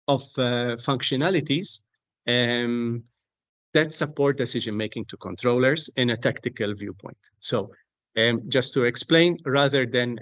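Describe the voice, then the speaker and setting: A man speaking as an expert guest in an explanatory interview, deliberate and halting, often repeating words as he searches for phrasing. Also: medium pitch, fairly bright tone, no echo, lo-fi sound. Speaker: aviation technology company expert, interview guest. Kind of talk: explanatory interview talk on a technical subject.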